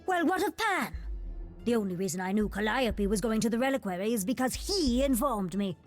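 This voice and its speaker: A woman's voice: a little high, lively intonation, brisk, faint music behind, close to an everyday voice. An actress playing a cursed, blood-hungry female monster spy who speaks in formal, archaic, pleading phrases in a video game. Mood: pleading, sorrowful. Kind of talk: theatrical video game voice acting.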